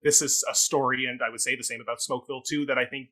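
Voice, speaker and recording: A man's voice: mid-range voice, fairly even intonation, rapid-fire, bright, forceful tone. A man co-hosting a theatre review talk, speaking conversationally in an articulate, wordy way with careful hedging.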